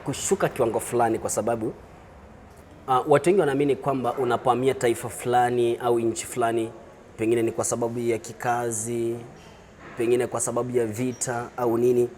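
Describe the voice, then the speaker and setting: A man with a Kenyan accent hosts an interview in a conversational manner. His voice is of average pitch, with slight noise and ambience behind it. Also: level tone, fairly quick, a little mellow. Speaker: interview host.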